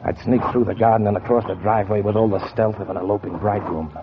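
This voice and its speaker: A man's voice: lowish voice, steady tone, fast talker, lightly acted. An actor playing a bitter, cold-blooded man bent on revenge, narrating in brooding 1940s radio noir style with sardonic deliberation. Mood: grim, determined. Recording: dull, noisy 1940s radio recording.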